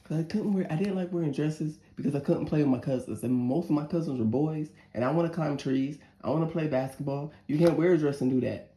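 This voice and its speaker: A woman in her forties with a deep voice giving a casual tarot reading and prayer, chatty and candid, cursing freely. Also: fairly quick, dark tone. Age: 40s. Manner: chatty, candid, curses freely and laughs often. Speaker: tarot reader. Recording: roomy echo.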